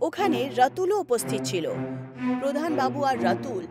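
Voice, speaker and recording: A woman's voice: high voice, sing-song, moderate pace. A woman delivering scripted narration for a horror story. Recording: loud music bed under voice.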